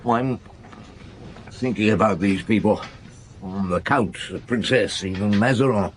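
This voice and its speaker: An actor playing a brooding, probing middle-aged police chief inspector in a radio drama, with pensive hums and pauses. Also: male voice, fairly low pitch, slight background noise, lightly acted. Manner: ruminative, questioning, with hums and pauses.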